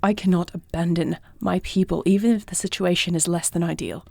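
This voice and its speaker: The battlefront queen in a fantasy audio roleplay acted by a woman, formal and headstrong in a lowish register, heard up close with no room around it, as if in your headphones inside her command tent. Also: fairly even intonation, normal speed, gravelly, darkish tone, breathy edge, no echo. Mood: firm. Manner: formal, regal phrasing. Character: dragon-shifter princess, newly wed, dutiful, proud, headstrong warrior. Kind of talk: scripted audio roleplay acting, one side of a dialogue.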